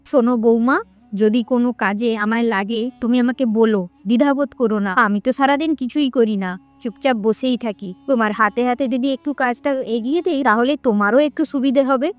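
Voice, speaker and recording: A woman voicing a kind, caring elderly mother-in-law who speaks gently in a cartoon story. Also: on the high side, a little fast, dry recording, soft background music, lightly acted. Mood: kindly. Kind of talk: Bengali cartoon fairy-tale voice acting.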